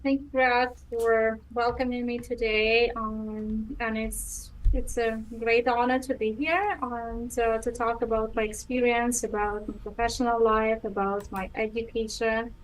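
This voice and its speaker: A woman's voice: fairly high pitch, level tone, unhurried, few pauses, low-quality recording. A woman in her thirties from Ukraine with a Ukrainian accent, whose first language is Russian, answering interview questions hesitantly with many ums and restarts. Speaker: guest.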